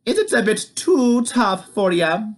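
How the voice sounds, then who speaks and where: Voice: mid-range voice, big pitch swings, measured pace, a bit bright, voice pushed up, full character voice. A storyteller voicing a clever, sweet-talking giant's wife in a storybook tale. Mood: sweetly sly. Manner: sugary, coaxing. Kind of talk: storybook reading.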